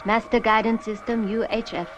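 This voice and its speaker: An actress playing a cool, poised female crew member of a rocket ship in a science fiction series, speaking deliberately. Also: fairly high pitch, little pitch movement, slight background noise, lightly acted. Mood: focused. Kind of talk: 1960s children's science fiction puppet series acting. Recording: music behind.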